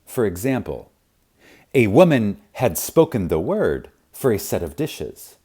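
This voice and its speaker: A man narrating a spiritual audiobook in a reverent, emphatic manner, with deliberate pauses. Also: fairly low pitch, sing-song, mellow, slightly rough. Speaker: audiobook reader. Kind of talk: scripted narration of a spiritual self-help audiobook.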